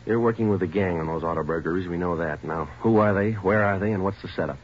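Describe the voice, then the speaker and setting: An actor playing a firm, businesslike police detective in a 1940s radio drama, questioning in a clipped, matter-of-fact way. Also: male voice, low-pitched, fast, close to an everyday voice. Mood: pressing. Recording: noisy, muffled 1940s radio recording.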